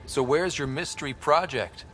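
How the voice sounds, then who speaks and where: An actor playing a charming, teasing young space pathfinder, devoted and romantic, in a video game cutscene. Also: male voice, a little high, moderate pace, a bit bright, slight background noise, natural voice. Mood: teasing, curious.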